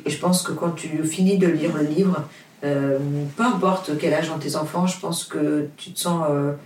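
A woman's voice: sits low, steady tone, moderate pace, darkish tone, slight background noise. Woman, roomy distant sound. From Portugal. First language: Portuguese. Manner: hesitant, many fillers and restarts, earnest. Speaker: author, interview guest. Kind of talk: casual interview chat.

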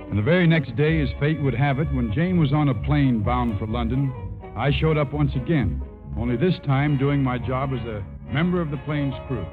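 An actor playing a genial, self-assured airline pilot in a 1950s radio drama. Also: male voice, medium pitch, natural voice. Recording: muffled vintage radio broadcast, music behind.

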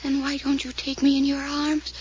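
Actress as a high-voiced, frightened young woman. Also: completely flat delivery, normal speed, darkish tone, natural voice. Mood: coaxing, needy. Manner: breathy, plaintive, often dropping to whispers. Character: fragile, frightened young woman, pleading and needy. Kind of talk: old-time radio mystery drama acting. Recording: muffled, noisy old radio transcription.

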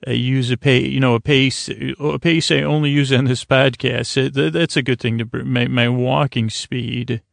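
A male podcast host speaks in a creaky, gravel-edged voice at a middling pitch. He rambles soothingly, as if easing listeners toward sleep, circling back and leaving thoughts half finished. The sound is very dry, with no room around it. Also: fairly even intonation, no echo. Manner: meandering, halting, repeats and trails off mid-thought.

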